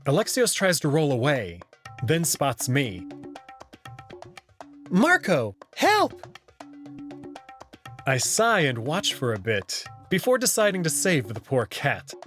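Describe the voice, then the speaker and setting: A man's voice: mid-range voice, big pitch swings, moderate pace, fairly bright tone, soft background music. A young man reading scripted first-person narration for a visual novel as its protagonist.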